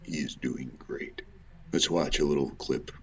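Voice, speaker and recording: A man's voice: a bass voice, steady tone, measured pace, a bit bright, dull audio. A man hosting an informal, impassioned commentary, ranting with repetition, rhetorical questions and swearing. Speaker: host of a religious and historical commentary show.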